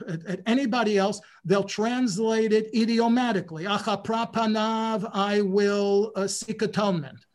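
A man with a notably high voice lectures in an informal class discussion. He is animated and often stumbles over the first words before his thought flows. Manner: animated, repeats words when starting. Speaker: guest rabbi lecturer.

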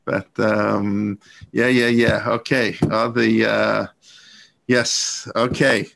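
A man's voice: lowish voice, flat delivery, takes it slowly, vocal fry, a bit bright. A man hosting a class discussion, talking conversationally with many fillers. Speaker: host of a class series.

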